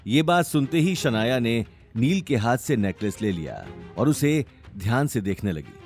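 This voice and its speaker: A man's voice: medium pitch, very animated, moderate pace, darkish tone, soft background music. A man narrating a scripted audio story in a dramatic style.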